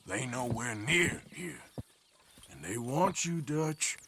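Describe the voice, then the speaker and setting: An actor playing a wry, tough Old West outlaw with an American accent and a sardonic, folksy drawl. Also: male voice, medium pitch, lively intonation, takes it slowly, vocal fry, sharp tone, husky, exaggerated voice. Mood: uneasy. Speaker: actor in a video game cutscene. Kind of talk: cinematic western game acting.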